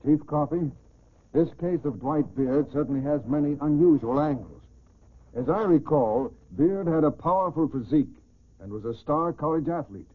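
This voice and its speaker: An actor playing a composed American colonel conducting a scripted 1940s radio interview. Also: male voice, fairly high pitch, moderate pace, close to an everyday voice. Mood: composed, formal. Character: composed male colonel interviewing a police chief. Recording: tinny, band-limited old radio recording.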